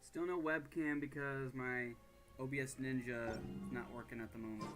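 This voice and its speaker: A man streaming a video game, chatting casually and muttering in a low-key, offhand way. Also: fairly even intonation, moderate pace. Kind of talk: casual livestream chat over gameplay. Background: game music.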